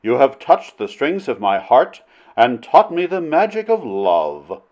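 A man's voice: mid-range voice, very animated, unhurried, a little mellow, muffled recording, voice pushed up. Bold, declamatory storybook hero read by a man. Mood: defiant. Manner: impassioned, declamatory, archaic formal speech. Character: bold, cunning adventurer hero wooing a princess. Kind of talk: audiobook character voice in old adventure romance.

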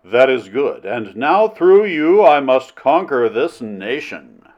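A man's voice: medium pitch, sing-song, slow pace, lo-fi sound. A man voicing a bold, cunning adventurer in an old romance audiobook, impassioned and formal in speech. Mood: triumphant. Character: bold, cunning adventurer hero wooing a princess.

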